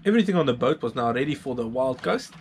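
A man's voice: medium pitch, animated, fast, faint music behind. A South African man, around his thirties, narrating a sailing vlog in an informal, laid-back way. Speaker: sailing vlogger.

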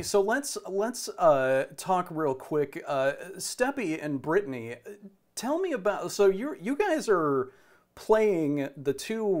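A man's voice: a little high, darkish tone. A middle-aged man hosting a casual interview over a group video call. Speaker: interview host.

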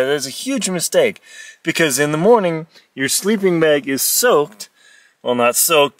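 A middle-aged man, an explorer and adventurer, giving a lively, playful how-to talk from inside a small tent, filmed on a phone at arm's length. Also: a little high, no echo, recorded from a distance. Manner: lively, playful, mock-dramatic asides. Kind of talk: casual how-to vlog. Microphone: phone camera held at arm's length.

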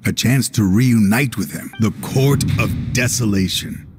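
An actor playing a remorseful, weary old warrior and father in a video game, with slow, deliberate, sighing delivery. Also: on the low side, a little mellow, slightly rough, faint music behind. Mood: sorrowful, regretful. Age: older. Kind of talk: dramatic video game voice acting.

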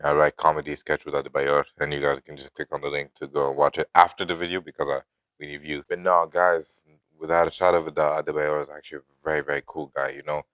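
Very deep-voiced young man vlogging. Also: little pitch movement, fast talker, fairly bright tone, clear, resonant tone, dry recording. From grew up in Lebanon. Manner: loose, rambling, fillers and "you know". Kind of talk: casual talking-to-camera vlog. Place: indoor room of a house. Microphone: lapel mic.

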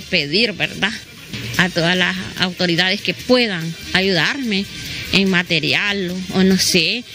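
A middle-aged woman with a southern Honduran accent giving spontaneous interview testimony, repeating phrases and adding tag questions, into a handheld microphone. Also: fairly low pitch. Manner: repeats phrases, often adds "¿verdad?". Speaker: local resident being interviewed. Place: at her house, open air. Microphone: handheld reporter microphone held toward her.

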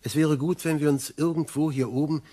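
A man acts out a police inspector in a crime radio play. His voice is somewhat husky, and he questions and instructs in a dry, matter-of-fact, authoritative way. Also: fairly high pitch, steady tone, brisk, mellow, lightly acted. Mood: authoritative, instructing. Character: brisk, probing, dry Scotland Yard police inspector.

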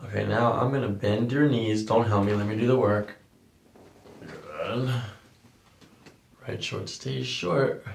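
A man's voice: on the low side, slow pace, vocal fry, pauses often, slight background noise. A middle-aged man working as a chiropractor, talking a patient through a hands-on exam in short clinical questions and instructions. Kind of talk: hands-on patient examination talk. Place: small carpeted treatment room, indoors.

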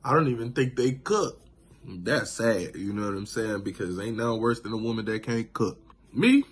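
A man in his thirties recapping a show on his vlog, laid-back and animated, from a small room indoors into a phone camera. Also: lowish voice, moderate pace, a little hiss or hum. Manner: laid-back, animated, lots of "you know what I'm saying". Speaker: vlogger. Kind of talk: casual reaction vlog.

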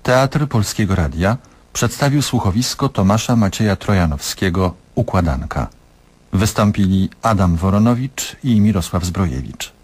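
A male radio announcer reads scripted credits. His voice is low and husky, and the delivery is formal. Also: lively intonation, normal speed, darkish tone.

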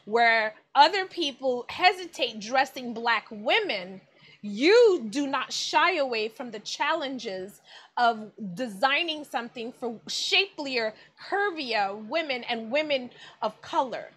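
Bright-voiced woman hosting an interview. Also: sits high, lively intonation, takes it slowly, husky. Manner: effusive, admiring, many exclamations. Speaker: magazine editorial director, interviewer. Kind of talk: interview host on video call. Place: indoors in front of a plain wall. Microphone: video call webcam.

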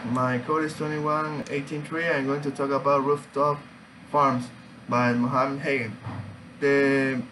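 Slow, halting young man presenting. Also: medium pitch, level tone, a bit bright, a little hiss or hum, poor audio. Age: young adult. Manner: halting, hesitant, searching for words. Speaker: student. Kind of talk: informal student oral presentation. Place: small room at home, indoors.

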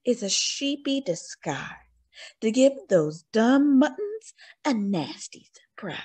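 A sly old storybook wolf, put on by a woman, greedy and full of himself. The delivery is theatrical and gloating, with pitch that leaps about and a slightly husky edge at an unhurried pace. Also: sing-song, a little mellow, full character voice. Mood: scheming.

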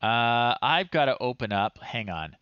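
Slow-paced man thinking aloud, lively pitch. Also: clean tone, dry recording, muffled recording. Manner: thinking aloud, muttering in short phrases. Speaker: livestream host. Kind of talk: casual livestream commentary while trying old software. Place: small room indoors at home.

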